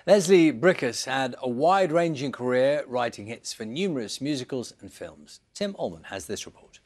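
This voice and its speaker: British male presenter with lively, swooping pitch. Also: moderate pace. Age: middle-aged. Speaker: breakfast news presenter. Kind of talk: chatty studio presenting. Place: TV studio.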